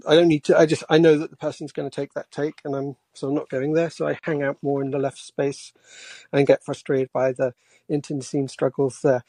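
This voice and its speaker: Quiet, hesitant man with a creaky voice. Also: fairly high pitch, level tone, dry recording. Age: 40s. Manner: hesitant, halting. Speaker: caller. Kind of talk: casual political talk.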